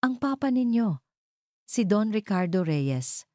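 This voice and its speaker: A woman's voice: mid-range voice, very animated, slow, mellow, no echo, studio quality, pitched above the performer's own voice. A woman voicing an earnest, loyal lawyer in a dramatic story, speaking carefully and with solemn weight. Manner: careful, weighty, emotional delivery. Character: earnest adult lawyer recounting a father's disgrace, solemn and loyal.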